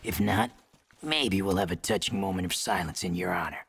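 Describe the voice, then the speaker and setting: An actor playing a gruff male rebel leader and former guard who speaks with dry sarcasm. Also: low-pitched, a little fast, a bit bright, full character voice.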